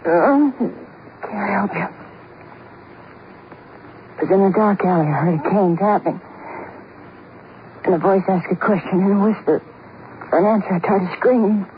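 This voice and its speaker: An actress playing a bitter, wounded waitress in a radio drama, guarded and terse, with slangy, defiant talk. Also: female voice, mid-range voice, a little slow, long pauses, natural voice. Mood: guarded, weary. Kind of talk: 1950s radio drama acting. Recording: tinny, noisy 1950s radio recording.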